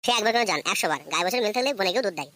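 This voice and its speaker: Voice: medium pitch, expressive, very fast, bright, forceful tone, no echo. A performer voicing a lovestruck, lecherous young village man in a comic cartoon, wheedling and sweet-talking. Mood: amorous, coaxing. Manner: wheedling, cajoling. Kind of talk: comic Bengali cartoon voice acting.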